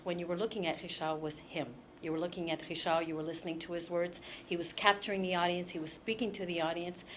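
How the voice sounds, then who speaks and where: Bright-voiced woman in an echoey, busy room. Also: fairly low pitch, fairly even intonation, fast. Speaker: audience member giving a testimonial. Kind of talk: spontaneous testimonial. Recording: echoey, noisy room with crowd.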